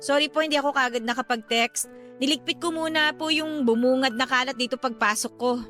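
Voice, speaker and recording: A woman's voice: high voice, fairly quick, faint music behind. A woman playing a weary, patient wife and mother in a Filipino radio drama. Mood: polite, patient. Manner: polite, expressive.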